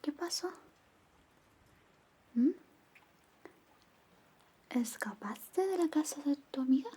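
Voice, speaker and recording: A woman playing a caring, protective young girlfriend in a soothing, gently firm whispered ASMR roleplay. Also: sits high, animated, slow. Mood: concerned.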